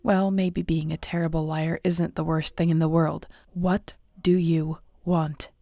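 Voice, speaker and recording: A woman playing a surly, protective werewolf alpha in an audio roleplay, with a gruff, blunt, dry manner. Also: low-pitched, flat delivery, a little slow, warm tone, no room sound, exaggerated voice. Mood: guarded, hostile. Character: surly young female werewolf alpha, guarded, fiercely protective.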